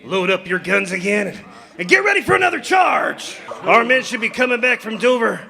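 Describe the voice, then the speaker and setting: An actor playing a ruthless outlaw leader, gruff and scheming, barking orders in live 1930s-style radio drama acting. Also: male voice, sits high, sing-song, brisk, sharp tone, raised voice, full character voice. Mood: gloating.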